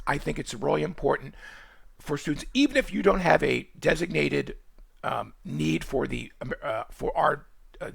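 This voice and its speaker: A man from Boston with a Boston accent, giving a college course orientation in quick, rambling speech full of fillers and restarts. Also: fairly high pitch, creaky, bright, forceful tone, breathy edge, no echo. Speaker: college nutrition instructor. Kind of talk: screen-recorded course orientation.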